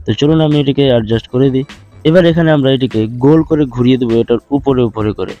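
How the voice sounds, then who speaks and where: A man narrates a screen tutorial at a brisk clip, calling his viewers friends. His voice is mellow and dark. The recording is dull and lo-fi, with faint music underneath. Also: medium pitch. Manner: steady instructional, addresses viewers as friends. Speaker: tutorial host.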